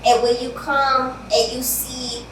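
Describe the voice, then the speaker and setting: A woman hosting a casual interview chat, slangy and chatty with drawn-out asides. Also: high-pitched, level tone, fairly bright tone. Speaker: host. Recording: very echoey room, distant mic, faint hiss.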